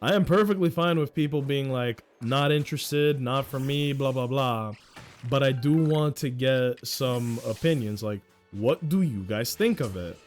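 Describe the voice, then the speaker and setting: A young man with a naturally loud voice chats his way through a game stream. He rambles, swears freely and pokes fun at himself, in an ordinary mid-range pitch. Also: a little slow, faint music behind. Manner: rambling, profane, self-mocking. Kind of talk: casual live-stream chat while gaming.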